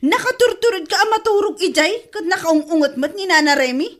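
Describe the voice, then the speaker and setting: A woman's voice: extremely high pitch, brisk, fairly bright tone. A woman acting an exasperated, scolding wife in an Ilocano comedy radio drama, with a Filipino Ilocano accent. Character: exasperated, nagging wife scolding her drinking husband.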